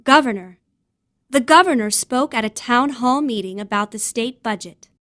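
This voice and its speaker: A woman's voice: on the high side, expressive, normal speed, fairly bright tone. A woman reading words and example sentences aloud in a scripted educational style as a vocabulary narrator.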